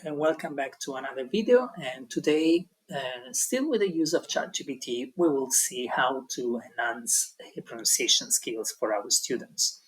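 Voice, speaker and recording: Husky man giving a hesitant tutorial. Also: medium pitch, fairly bright tone, poor audio. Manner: hesitant, many ums and restarts. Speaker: language teaching tutor. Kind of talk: explaining an online tutorial.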